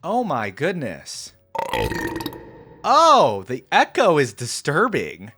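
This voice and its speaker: A man's voice: on the high side, wildly swooping pitch, slow pace, bright, forceful tone, breathy edge, dry recording, faint music behind. A young man giving casual reaction commentary, thinking aloud and addressing viewers in a musing, tentative way. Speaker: reaction video host.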